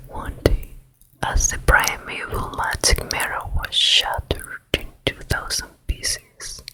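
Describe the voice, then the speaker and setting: A wicked storybook queen, played by a woman, speaks in a slow, breathy whisper. She is vain and jealous, measuring out each phrase with quiet menace in an ASMR fairy-tale roleplay. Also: natural voice, poor audio. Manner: hushed, slow, deliberate, menacing.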